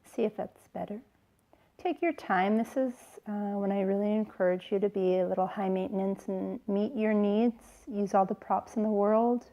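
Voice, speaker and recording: A woman yoga teacher leading a guided relaxation in a soothing, gentle way, with unhurried phrases and pauses. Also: medium pitch, steady tone, muffled recording. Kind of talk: slow guided relaxation instruction.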